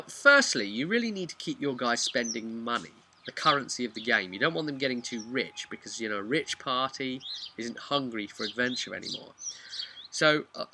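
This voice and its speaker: A man's voice: medium pitch, noisy background. A man giving a casual, unscripted explainer as a video host, rambling along in a chatty, frank way. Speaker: video host and tabletop game master.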